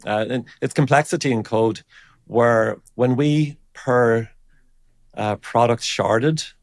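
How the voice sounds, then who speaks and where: A man giving an informal technical explanation as an interview guest, thinking aloud in a halting way. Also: mid-range voice, vocal fry, dry recording. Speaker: engineering director, interview guest.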